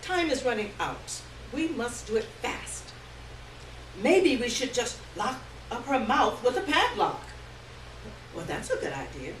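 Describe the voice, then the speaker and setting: A woman's voice: fairly high pitch, very animated, a little slow. A woman voicing a menacing, impatient male cattle raider with a commanding, threatening manner in a dramatic story reading. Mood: impatient bullying. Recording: distant roomy live recording, faint noise.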